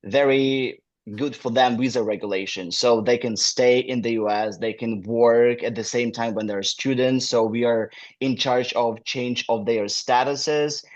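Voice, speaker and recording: A man's voice: medium pitch, steady tone, unhurried. Ukrainian-accented man on a dull call. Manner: non-native phrasing with frequent fillers. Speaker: guest, admissions manager. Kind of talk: casual interview talk.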